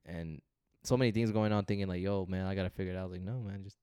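A man's voice: low-pitched, steady tone, fast, dark tone, clear, resonant tone, no room sound, studio quality. A young man co-hosting a podcast, talking in a laid-back, slangy way full of "you know.". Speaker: podcast co-host. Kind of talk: casual chat.

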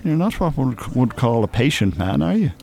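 A wry, well-spoken older gentleman, animated. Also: mid-range voice, fairly quick, creaky. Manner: formal, wordy, drily mocking. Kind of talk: radio drama dialogue.

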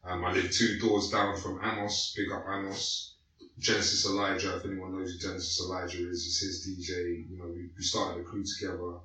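A man's voice: sits low, little pitch movement, brisk, sharp tone, far from the mic, a little hiss or hum. A man in his late thirties with a London accent, telling his life story in loose, slangy casual chat full of fillers. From North West London, England. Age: late 30s. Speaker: guest. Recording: echoey room, away from the mic.

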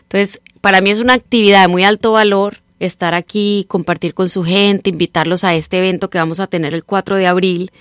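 A middle-aged Colombian woman with a slightly mixed Nicaraguan accent, speaking as a radio guest and time-management trainer in an animated, persuasive coaching tone. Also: medium pitch, brisk, hardly any pauses, clear, resonant tone, dry recording, clean studio sound. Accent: Colombian Spanish mixed with Nicaraguan. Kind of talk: radio interview, promoting a seminar.

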